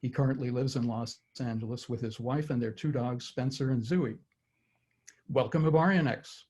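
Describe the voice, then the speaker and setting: A man hosting an online forum, reading a guest's introduction in a steady, measured way over a video call. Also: medium pitch, breathy edge. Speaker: forum host.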